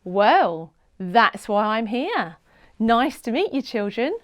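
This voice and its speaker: A woman's voice: a little high, sing-song, takes it slowly. A woman voicing a friendly, confident female superhero in a storybook, bold and encouraging. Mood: inviting. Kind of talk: storybook read-aloud character voice.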